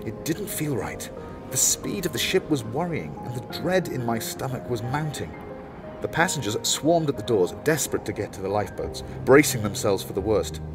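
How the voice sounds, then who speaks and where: A man's voice: mid-range voice, sing-song, moderate pace, close to an everyday voice. A man playing a haunted, lovesick passenger. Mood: frantic, urgent. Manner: intimate, lyrical storytelling. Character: lovesick male ship passenger, haunted and devoted. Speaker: narrator-protagonist of an audio play. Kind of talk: first-person audio drama monologue. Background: loud music score.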